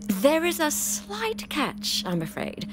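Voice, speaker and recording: A woman's voice: high-pitched, huge pitch swings, takes it slowly, over music, heavily acted. A woman playing a dry, irritable older adventurer in a sci-fi comedy audio drama. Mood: dry, commanding. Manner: dry, exasperated. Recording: noisy ambient sound effects behind.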